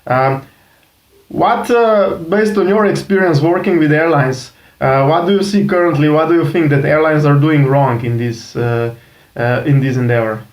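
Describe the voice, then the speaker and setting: A fairly high-pitched man hosts an interview, asking long, halting questions that stall on "uh" and then pick back up. His voice carries a noticeable room echo. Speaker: interview host.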